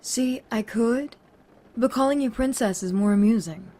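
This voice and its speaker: A woman's voice: fairly high pitch, normal speed, mellow, natural voice. A woman playing a wry, teasing, tough-talking woman in a fan audio drama, casual and blunt.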